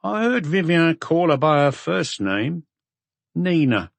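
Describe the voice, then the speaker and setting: A man's voice: a little high, very animated, slow, creaky, no echo, voice pushed up, full character voice. A man voicing a tired, slovenly hotel owner with blunt, curt answers, in an audiobook. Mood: gruff, weary. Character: unshaven, tired, gruff male hotel owner.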